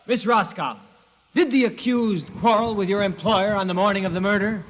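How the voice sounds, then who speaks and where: An actor in a 1950s radio drama playing a formal, probing courtroom prosecutor. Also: male voice, high-pitched, moderate pace, a little hiss or hum, natural voice. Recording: long hall reverb, music behind.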